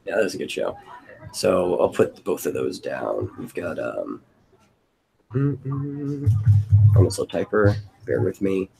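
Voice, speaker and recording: A man's voice: low-pitched, very animated, slow pace, gravelly, long pauses, dark tone, poor audio. A man hosting a casual brainstorming chat, thinking aloud in a loose way. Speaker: host of a brainstorming stream. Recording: noticeable background noise.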